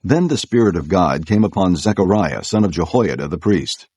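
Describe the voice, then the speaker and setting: A man reads scripture as a narrator, in a low, husky voice. The delivery is formal and measured, and the sound is dry and close. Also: expressive, a little mellow, no room sound.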